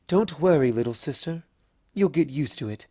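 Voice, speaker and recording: A man voicing a teasing, regal pony princess, pitched high. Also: animated, mellow, no echo, clean studio sound. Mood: playful, teasing. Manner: drawn-out, playful, knowing delivery. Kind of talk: fan fiction story reading.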